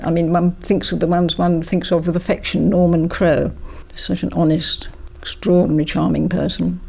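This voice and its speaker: A woman's voice: lowish voice, flat delivery, moderate pace, vocal fry, darkish tone, husky. An older woman, a former politician, reminiscing in an interview in an effusive, emphatic, well-spoken way.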